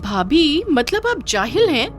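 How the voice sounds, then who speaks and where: A woman voicing a spiteful, haughty young sister-in-law in a moral story, sneering, sharp-tongued and condescending. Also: high voice, big pitch swings, a little slow, faint music behind, voice pushed up. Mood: mocking. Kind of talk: acted dialogue in a moral story.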